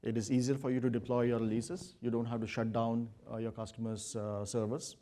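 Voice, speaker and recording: A man presenting at a business conference and addressing his audience, pausing often with "uh". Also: medium pitch, level tone, warm tone, slight background noise. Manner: measured, many "uh" fillers. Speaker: consulting presenter.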